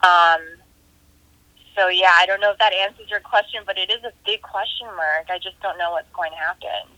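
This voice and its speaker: A woman's voice: lowish voice, steady tone, moderate pace, pushed and bright, dry recording, poor audio. A woman filmmaker giving thoughtful, conversational answers in a casual interview. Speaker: independent filmmaker being interviewed.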